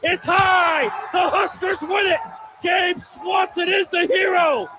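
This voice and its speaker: Frantic male play-by-play caller, very high and bright, crowd noise and faint music, distant broadcast clip. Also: fairly even intonation, slow. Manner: builds to frantic shouting. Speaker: baseball play-by-play announcer. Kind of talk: radio play-by-play.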